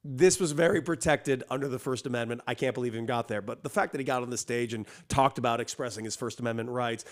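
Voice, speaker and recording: A man's voice: mid-range voice, fast. A man co-hosting a podcast in casual banter, ranting animatedly and swearing freely. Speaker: co-host.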